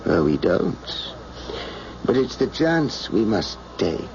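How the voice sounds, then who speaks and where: An actor playing a weary, persuasive, pragmatic president burdened by duty in a radio drama. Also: male voice, lowish voice, big pitch swings, slow, gravelly, heavily acted. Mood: grave, insistent. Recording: muffled old radio broadcast, faint noise.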